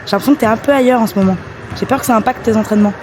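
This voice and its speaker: A woman's voice: a little high, very fast, background noise, close to an everyday voice. A woman playing a determined, direct athletics coach in a French audio drama. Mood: brisk, encouraging.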